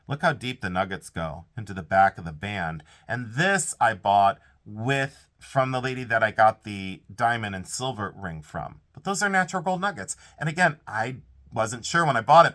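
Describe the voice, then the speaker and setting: Congested chatty man with lively pitch. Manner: chatty, rambling, self-interrupting, frequent laughs. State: congested, stuffed up. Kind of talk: casual haul video.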